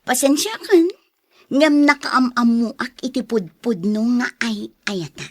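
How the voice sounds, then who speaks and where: A woman's voice: a little high, animated, measured pace, no echo, studio quality. An actress with a Filipino accent playing a resolute young woman leaving her lover, with dramatic radio drama delivery. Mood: apologetic, firm.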